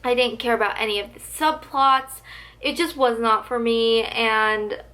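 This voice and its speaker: A fairly high-voiced young woman gushes to the camera about her favorite books, talking casually and saying "like" a lot. Her voice is a little hoarse, as if it comes and goes. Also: steady tone, unhurried, bright, forceful tone. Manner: gushing, chatty, heavy use of "like". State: slightly losing her voice. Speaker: book vlogger. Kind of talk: casual book tag vlog.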